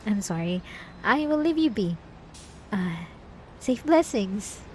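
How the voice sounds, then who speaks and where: A woman playing a sweet, devout, obsessive young church girl in an ASMR roleplay, speaking haltingly with apologetic, feigned innocence. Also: medium pitch, very animated, a little mellow, slight background noise, rough recording. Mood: shy, hopeful. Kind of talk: ASMR roleplay drama, addressed to the listener.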